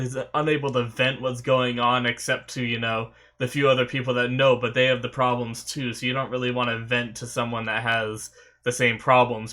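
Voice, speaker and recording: Sniffly young man, bright voice. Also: mid-range voice. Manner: rambling, many fillers and restarts. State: recovering from a sore throat, sniffly. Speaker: anime reaction host. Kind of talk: casual reaction commentary.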